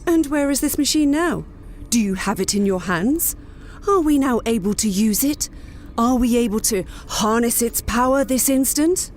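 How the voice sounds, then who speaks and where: Woman as an imperious, high-voiced wolf matriarch. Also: animated, moderate pace, soft background music, close to an everyday voice. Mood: accusing. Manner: commanding, menacing, formal. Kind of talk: sci-fi audio drama acting.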